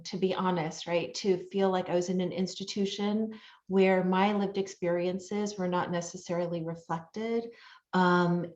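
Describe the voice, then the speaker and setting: Measured woman on a muffled video call. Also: on the low side, a little mellow, reverberant. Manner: earnest, measured, many fillers. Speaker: panelist, labor studies teacher. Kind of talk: panel talk over video call.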